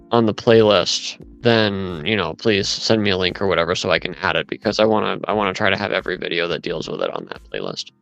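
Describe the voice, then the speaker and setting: A man's voice: lowish voice, fairly bright tone, clean tone, no room sound, highs cut off. A man in casual chat, rambling as he retells events with lots of asides.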